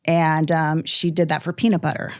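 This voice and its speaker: A middle-aged woman, a company president, telling stories from her childhood in a relaxed, thoughtful interview with many pauses. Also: low-pitched, dry recording, clean studio sound. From born in Iceland, military family. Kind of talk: podcast interview, reflective storytelling.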